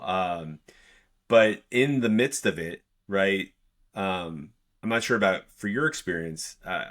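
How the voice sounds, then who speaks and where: Man, podcast host, very deep voice, affirming, conversational. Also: fairly bright tone. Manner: affirming, asks follow-up questions. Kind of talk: conversational interview.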